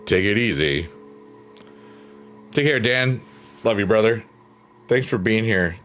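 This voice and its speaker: A middle-aged man with a very deep bass voice chats easily with his livestream viewers, relaxed and openly reflective. Clear piano music plays underneath him throughout. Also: slow. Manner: laid-back, chatty, openly reflective. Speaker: livestream host. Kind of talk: casual chat with live chat readers.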